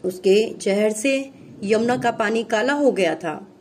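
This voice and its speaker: A woman's voice: a little high, slow pace, a little mellow, a little hiss or hum. A woman narrating a scripted children's story as the narrator of a puppet show.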